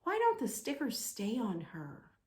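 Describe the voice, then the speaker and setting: A woman's voice: on the high side, huge pitch swings, unhurried, darkish tone, echoey room, voice pushed up. A woman voicing a timid little wooden puppet-man in a children's storybook, hesitant, questioning and plaintive. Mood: puzzled. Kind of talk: children's storybook read-aloud.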